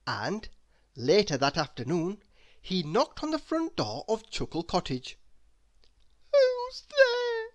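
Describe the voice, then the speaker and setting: Female storybook narrator, low-pitched, unhurried pace, expressive, deliberate read-aloud. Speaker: storybook reader.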